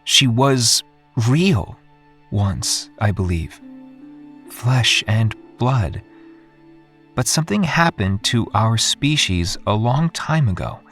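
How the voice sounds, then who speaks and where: Low-voiced, solemn male researcher narrating. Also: a bit bright. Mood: reflective, uncertain. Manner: measured, contemplative. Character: solemn, introspective male researcher recounting his ordeal. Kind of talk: scripted first-person dramatic narration. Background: music.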